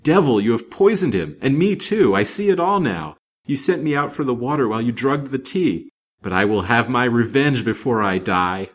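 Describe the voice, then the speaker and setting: A man's voice: lowish voice, big pitch swings, brisk, hardly any pauses, breathy edge. A man voicing a fierce, greedy old woman with a defiant, shrieking manner in a dramatic story reading. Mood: horrified rage. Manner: shrieking, loud defiant outbursts.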